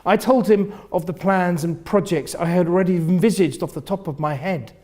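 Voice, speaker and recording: A man with a husky voice reading first-person literary fiction in an expressive, theatrical manner, often hushing the ends of phrases. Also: high-pitched, normal speed. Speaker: reader of a novel.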